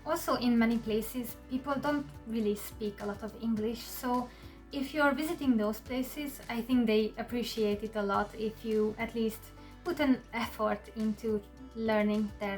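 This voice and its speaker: Hungarian-native woman, slightly high pitch, easy chatty vlog delivery, faint music bed. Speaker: channel host. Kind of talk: casual talk to camera.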